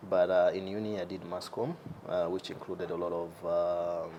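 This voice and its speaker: Deep-voiced young man, hesitant. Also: flat delivery, moderate pace, dark tone, a little hiss or hum. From Uganda. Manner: hesitant, many fillers, easy laughs. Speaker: guest, entrepreneur. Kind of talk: casual interview.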